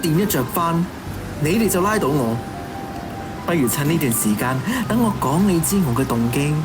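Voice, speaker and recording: An actor with a Hong Kong Cantonese accent playing a fanatical, cynical killer who styles himself an executioner, in theatrical, taunting radio drama monologue. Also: male voice, fairly high pitch, expressive, moderate pace, music in the background, lightly acted. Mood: brooding, confessional.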